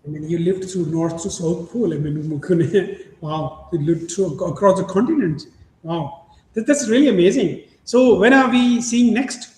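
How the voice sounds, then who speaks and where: A middle-aged man hosts the conversation in a high voice, stumbling through restarts and fillers and breaking into laughs. He sounds like he's in an echoey room with a faint hum of ambience behind him. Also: warm tone. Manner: halting, many restarts and fillers, laughs often. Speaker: podcast host. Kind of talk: casual interview chat.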